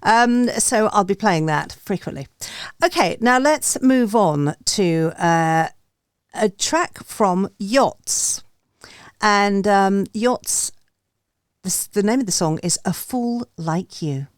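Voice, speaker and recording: A woman hosting a music review show, introducing tracks and band histories in a halting, conversational way. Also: medium pitch, lively intonation, no room sound, clean studio sound. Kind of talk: hosting and introducing songs, reading band backstory.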